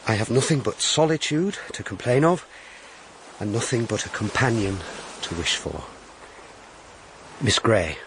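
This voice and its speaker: An actor playing a grave, gentle Victorian clergyman in a radio drama, formal, deliberate and reserved as he courts. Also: on the low side, very animated, noisy background. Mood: quietly tender. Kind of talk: period radio drama acting.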